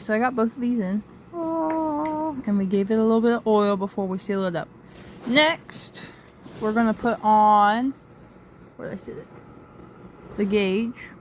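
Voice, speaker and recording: A woman's voice: mid-range voice, slight background noise. A woman giving an informal step-by-step demonstration, thinking aloud as she works with her hands. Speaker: co-host demonstrating assembly.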